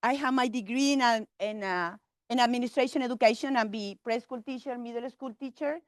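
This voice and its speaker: Brief: middle-aged Chilean woman, Spanish accent, halting English, somewhat high voice, dry sound. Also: no room sound. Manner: halting broken English, chatty, laughs often. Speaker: vendor presenting her business. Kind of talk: informal pitch to a meeting.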